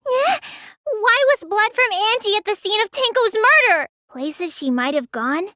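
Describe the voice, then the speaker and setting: A woman's voice: very high-pitched, lively intonation, a little slow, bright, forceful tone, no echo, clean studio sound, heavily acted. A woman voicing a petite, stubborn teenage girl magician in an English anime game, with a languid, childlike, slightly pouty delivery. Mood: upset, questioning.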